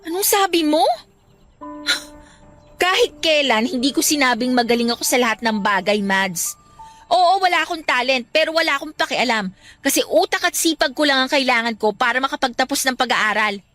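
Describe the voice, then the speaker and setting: High, bright, earnest student friend. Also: female voice, animated, normal speed. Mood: hurt, admonishing. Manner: earnest, admonishing. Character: earnest, studious, preachy young female best friend. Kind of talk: radio drama acting. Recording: music bed under the voice.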